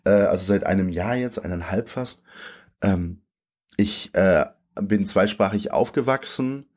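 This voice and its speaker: A man's voice: on the low side, unhurried, a little mellow. A man in his late twenties from Germany, a poet talking things over in an interview, thoughtful and searching, with frequent fillers and restarts. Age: late 20s.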